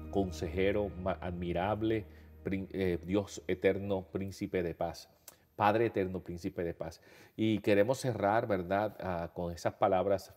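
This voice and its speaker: A man in his fifties with a Puerto Rican accent, speaking as a pastor to his online viewers, sounding nasal after a nose procedure and talking in a relaxed, chatty way with many fillers. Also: deep voice. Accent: Puerto Rican Spanish. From Puerto Rico. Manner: warm, conversational, addresses viewers as beloved, frequent fillers. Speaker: pastor hosting an online devotional show. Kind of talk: casual devotional talk to viewers. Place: indoors before a holiday backdrop.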